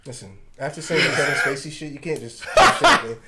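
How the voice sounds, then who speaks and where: A man's voice: mid-range voice, very animated, a little fast, over a noisy crowd. A man co-hosting a podcast, chatting in a slangy, agreeable way and laughing often. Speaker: podcast co-host. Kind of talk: casual chat.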